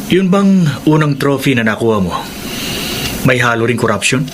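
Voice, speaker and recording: A man's voice: medium pitch, sing-song, normal speed, noisy background, lightly acted. A man in a radio drama playing a concerned friend who questions and urges. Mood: skeptical.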